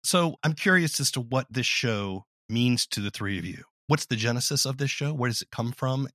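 A man's voice: mid-range voice, animated, moderate pace, fairly bright tone, no echo, clean studio sound. A man hosting an interview, asking questions and summing up in a conversational way. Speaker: interview host.

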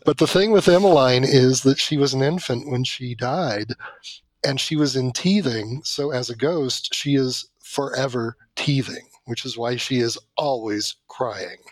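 A man's voice: medium pitch, measured pace, no room sound. A man talking conversationally as an interview guest and drama creator. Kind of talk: conversational storytelling.

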